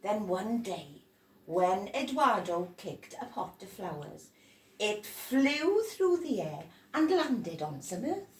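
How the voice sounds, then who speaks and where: Woman, storybook read-aloud, lively swooping pitch, dramatic emphasis, unhurried, roomy distant recording. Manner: dramatic, animated delivery with emphasized words. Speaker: storybook reader. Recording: roomy echo, distant from microphone.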